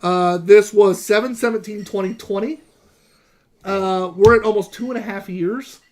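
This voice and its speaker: A man co-hosting a casual beer review podcast, enthusiastic and fast-talking with lots of fillers. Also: high voice, fairly even intonation, a little mellow. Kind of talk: casual chat.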